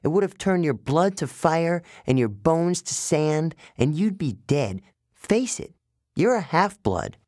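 A man voicing a smart, confident girl camper who explains things earnestly, in a higher voice than his own. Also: big pitch swings, measured pace, darkish tone, no echo, clean studio sound.

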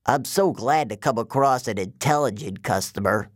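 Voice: mid-range voice, moderate pace, slight background noise, close to an everyday voice. A performer voicing a genial but menacing male giant who talks in folksy, drawling sales patter, in an audiobook. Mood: chatty, pleased. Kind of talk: audiobook character voice.

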